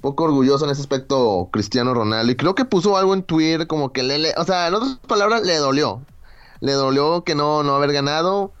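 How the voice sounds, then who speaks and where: A man's voice: on the high side, brisk, a bit bright, clear, resonant tone. Hesitant man, dull recording. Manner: hesitant, full of fillers. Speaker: co-host. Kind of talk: casual sports chat.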